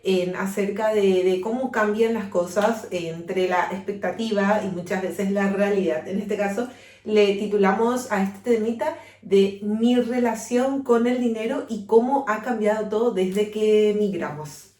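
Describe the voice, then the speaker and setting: A woman's voice: medium pitch, fairly even intonation, a little slow, a little mellow. Young Paraguayan woman podcasting, distant and echoey. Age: 20s. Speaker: podcast co-host. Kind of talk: casual conversational podcast. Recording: roomy echo, distant from the mic.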